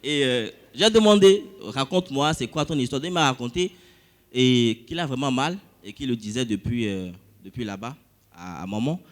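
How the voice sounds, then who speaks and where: A man with a West African French accent telling a church testimony, animated and full of hesitations. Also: medium pitch, moderate pace. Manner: animated storytelling with frequent hesitations. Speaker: church member giving testimony.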